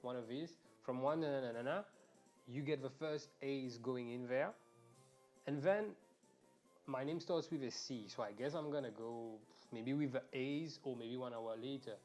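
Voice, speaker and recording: A young man talks loosely to his viewers while he plays, drifting between topics and cursing without a second thought. His voice sits at an ordinary middle pitch and comes through a quiet earphone mic, with faint game music underneath. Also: normal speed. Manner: rambling and chatty, swears freely, talks to viewers. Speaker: streamer. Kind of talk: casual live game stream chat. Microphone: earphones mic, quiet and low in level.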